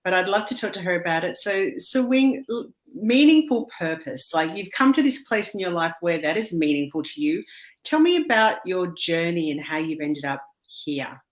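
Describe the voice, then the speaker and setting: A woman's voice: on the low side, big pitch swings, normal speed. A woman hosting an interview, who talks conversationally as she introduces her guest and asks open questions. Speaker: host.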